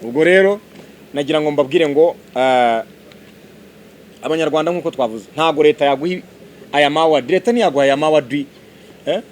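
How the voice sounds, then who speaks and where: Guy hosting a promo, talks super fast, a bit high, keeps firing questions at people. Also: a little hiss or hum. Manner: animated, quizzing listeners. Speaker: presenter. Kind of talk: lively on-site promo talk.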